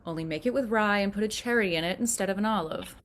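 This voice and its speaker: A woman's voice: mid-range voice, quick pace, close to an everyday voice. A woman reading a scene at an audition, playing a wry, teasing character who sighs. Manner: wry, sighing.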